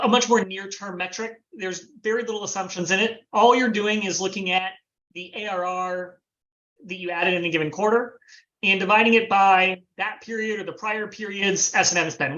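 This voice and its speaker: A man, a former finance planning head, explaining a business model on a video call in a measured way with frequent fillers. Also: sits high, sharp tone, highs cut off.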